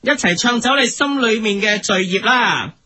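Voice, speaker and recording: A man's voice: high voice, expressive, normal speed, bright, forceful tone, heavily acted. A man playing an oblivious, overfriendly, pious singer in a comic radio play, earnest and insistent in his chatter.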